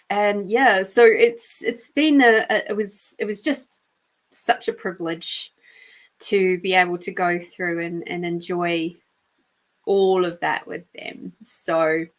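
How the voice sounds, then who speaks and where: Host, woman, middle-aged, New Zealand accent, unhurried, laughs often and wanders off mid-sentence. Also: medium pitch, a bit bright. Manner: chatty, giggly, rambling with many fillers. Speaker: podcast host and intuitive reader. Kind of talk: casual live-stream chat.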